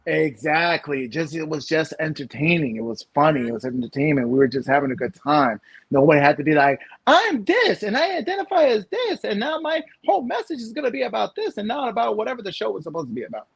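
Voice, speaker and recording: A man in his thirties from the United States, co-hosting a casual podcast chat, animated and rambling with lots of fillers and restarts. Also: fairly high pitch, fast, creaky, breathy edge, no room sound. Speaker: podcast co-host.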